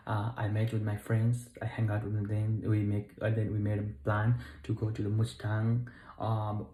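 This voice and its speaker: A young man from Nepal talking to camera about his trip home, halting often with fillers and self-corrections. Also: lowish voice, warm tone. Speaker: travel vlogger. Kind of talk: unscripted talk to camera. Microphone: camera mic. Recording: echoey room.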